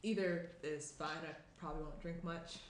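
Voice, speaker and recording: Woman playing guarded young fiancee in a drama read, low key, echoey, faint noise. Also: steady tone, normal speed, lightly acted. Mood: subdued, casual. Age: young adult. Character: guilty, reserved young fiancée hiding a secret. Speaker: actor in a screenplay table read. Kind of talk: naturalistic dramatic script reading. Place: small indoor room around a table. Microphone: shared tabletop microphone. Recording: roomy echo, faint room noise.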